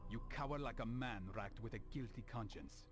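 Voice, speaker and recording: A solemn medieval assassin, played by a man in a video game. He speaks formally and with measure, in a mid-pitched, stoic voice. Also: fairly quick, lightly acted. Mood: accusing. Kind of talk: video game cutscene acting.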